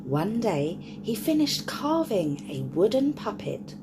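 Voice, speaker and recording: A woman's voice: mid-range voice, sing-song, slow pace, background noise. A woman reading a picture book aloud to young children in a sing-song storytime voice, often stopping to ask the listener questions.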